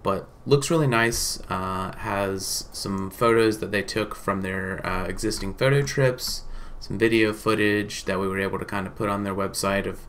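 Low-voiced man, casual and hesitant presenter. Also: fairly even intonation, moderate pace, a bit bright, slight background noise. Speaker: marketing company presenter. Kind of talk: informal case study presentation to camera.

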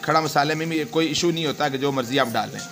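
Man, 40s, slightly high voice, cooking vlog outdoors, music playing underneath. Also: fast, fairly bright tone. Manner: chatty, addresses viewers directly. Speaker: cooking vlogger. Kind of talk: casual cooking demonstration. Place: outdoor village yard by a wood fire. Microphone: camera mic, handheld by a cameraman.

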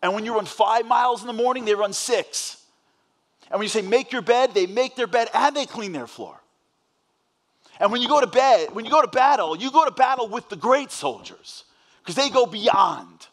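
A man with a New York accent giving a fast-paced inspirational speech on a large stage, telling a story and acting out the dialogue. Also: high-pitched, lively intonation. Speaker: motivational speaker.

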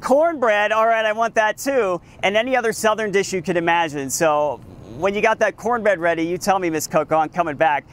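A man's voice: high-pitched, brisk, hardly any pauses, a bit bright. A man in his thirties doing a live outdoor news report in front of a school, upbeat and chatty, on a lapel mic. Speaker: field reporter.

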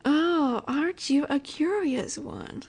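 A woman playing a flirty, confident female mafia boss in a roleplay audio drama, coy and teasing with breathy asides. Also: high voice, takes it slowly, darkish tone, heavily acted. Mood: seductive, teasing.

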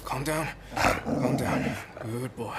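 An actor playing a soldier in a samurai-era video game, speaking without hurry. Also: male voice, medium pitch, takes it slowly, very noisy, close to an everyday voice. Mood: soothing. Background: loud music.

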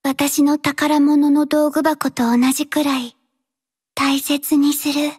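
An actress voicing a reserved, dutiful young female mercenary in an anime-style mobile game, speaking in a quiet, terse, halting and earnest way. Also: high-pitched, flat delivery. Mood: soft, reserved.

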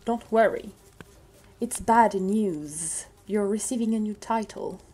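A menacing demon lord, acted by a woman for an animated comic dub. The voice is husky and commanding, with deliberate, mocking delivery and buzzing sibilants. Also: medium pitch. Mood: cold, smug.

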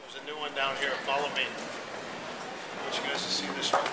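A man's voice: mid-range voice, monotone, moderate pace, very noisy. Teasing man filming himself. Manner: playful, teasing. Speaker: vlogger. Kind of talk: casual joking vlog chatter. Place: airport, car and restaurant. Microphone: handheld camera close to face.

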